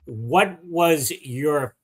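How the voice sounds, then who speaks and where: Curious podcast host asking questions. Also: male voice, a little high, very slow, a bit bright, breathy edge. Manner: curious, asks questions, jokes. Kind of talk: casual interview chat.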